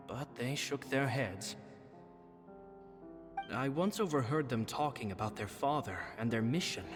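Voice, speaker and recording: A man's voice: medium pitch, lightly acted. Man playing musing magician in long reverb. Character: reflective, fretful male stage magician reading his journal. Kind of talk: dramatic game narration. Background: music.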